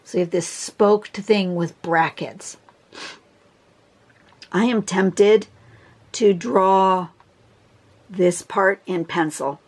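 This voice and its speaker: Hoarse woman chatting through a cold. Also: lowish voice, extremely slow, long pauses. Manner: chatty, rambling, many fillers and throat clearing. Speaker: drawing tutorial host. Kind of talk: casual instructional talk. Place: indoors at a table, filming a phone screen.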